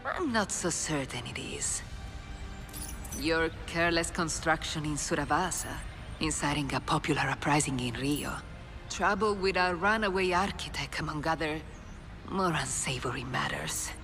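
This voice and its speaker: An actress playing a proud, ruthless crime boss in a video game cutscene, with a cold, formal, commanding manner. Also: female voice, deep voice, moderate pace, fairly bright tone, faint music behind. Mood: proud scorn. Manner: imperious, cold, formal, threatening. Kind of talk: video game cinematic voice acting.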